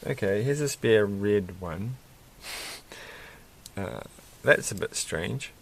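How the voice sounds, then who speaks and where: A man rambling softly to himself while building a toy kit at his desk at night, self-deprecating and a bit tired. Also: lowish voice, animated, slow, gravelly. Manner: soft-spoken, muttering to himself, self-deprecating. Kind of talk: casual rambling build commentary.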